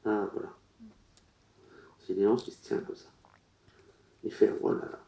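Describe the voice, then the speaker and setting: Medium guy at a public seance, really dark mellow voice, broken choppy phrasing, echoey room. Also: on the low side, fairly even intonation, vocal fry. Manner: halting, relays messages in short broken phrases. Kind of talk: public séance reading. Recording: roomy echo.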